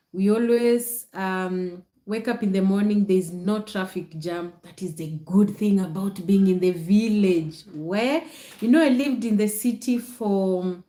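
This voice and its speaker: Slow-talking Kenyan woman, echoey livestream. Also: medium pitch, warm tone. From Nakuru, Kenya. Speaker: content creator hosting a livestream. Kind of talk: casual livestream chat to viewers.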